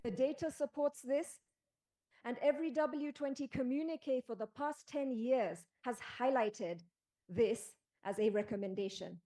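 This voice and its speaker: Kenyan woman on a panel, bit high voice, measured and emphatic. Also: mellow, low-quality recording. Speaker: panelist and special advisor. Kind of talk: formal panel speech.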